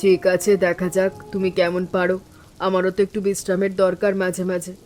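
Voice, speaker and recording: A woman playing a calm, steadfast elder sister-in-law in an animated village story, speaking in a composed, firm and reassuring way. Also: lowish voice, brisk, close to an everyday voice. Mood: calm, weary.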